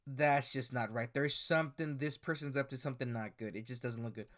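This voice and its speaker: A man's voice: medium pitch, fairly quick, a bit bright. A man in his twenties giving casual commentary on screenshots and articles, hesitant, with many fillers and restarts. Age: 20s. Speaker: commentary YouTuber.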